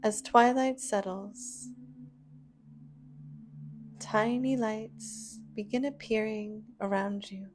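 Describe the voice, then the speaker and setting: A woman guiding a scripted imagery meditation in a gentle, soothing, unhurried manner with long pauses. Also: mid-range voice, steady tone, slight background noise. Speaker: meditation guide.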